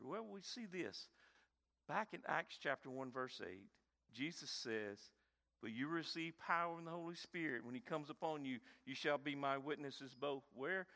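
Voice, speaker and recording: A man, preaching a sermon with dynamic emphasis and quiet, intense stretches. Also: fairly high pitch. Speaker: pastor.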